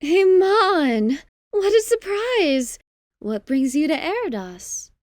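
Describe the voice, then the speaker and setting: A woman playing a regal, wry queen in an audio drama, with a measured, haughty and teasing manner. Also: very high-pitched, very animated, darkish tone, no room sound, studio quality, full character voice. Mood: delighted surprise. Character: regal, wry queen, fond but condescending.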